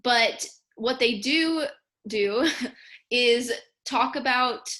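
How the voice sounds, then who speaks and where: Bright-voiced young woman teaching casually. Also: on the high side, very slow. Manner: chatty, lots of "um" and "like". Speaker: speech and debate student coach. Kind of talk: casual slideshow lesson.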